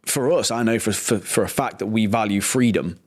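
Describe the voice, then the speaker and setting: A man in his thirties, co-hosting a casual business podcast with chatty banter and lots of fillers. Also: lowish voice. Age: 30s. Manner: quick, chatty, full of fillers and restarts. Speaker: podcast co-host.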